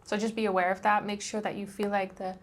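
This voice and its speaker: A woman's voice: medium pitch, flat delivery, fast talker. A young woman from the Netherlands with a Dutch accent, chatting casually to camera as a travel vlogger, with frequent ums and likes.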